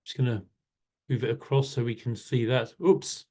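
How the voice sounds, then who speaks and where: Man, workshop leader, warm reflective manner, self-correcting restarts, video call mic. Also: medium pitch, little pitch movement, normal speed. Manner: warm, thoughtful, frequent restarts. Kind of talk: online workshop talk.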